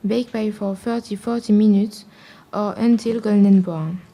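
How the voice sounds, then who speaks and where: A woman's voice: mid-range voice, steady tone, a little slow, warm tone. A teenage girl reading cooking steps aloud for a school radio show. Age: teens.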